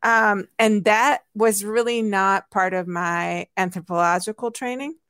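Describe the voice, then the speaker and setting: A woman who grew up in Hungary, answering interview questions in a reflective way, often pausing with fillers and restarting her sentences. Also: medium pitch, steady tone, fairly bright tone, clean tone, no room sound, clean studio sound. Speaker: guest, anthropologist.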